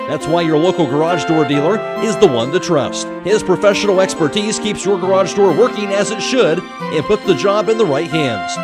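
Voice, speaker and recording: A man reading a scripted safety-tip radio ad as a voice-over. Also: sits high. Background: loud instrumental music.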